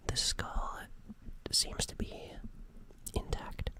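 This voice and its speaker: Gentle alien nurse played by a woman, whispered ASMR, hesitant and reassuring. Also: very slow. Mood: concerned, careful. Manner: soothing, hesitant, repeats phrases softly. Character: gentle flustered alien nurse tending a crash survivor.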